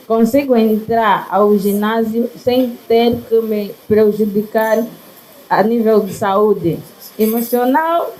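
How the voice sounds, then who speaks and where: A woman's voice: a little high, warm tone, poor audio. A woman in an audience asking an unscripted personal question, searching for her words.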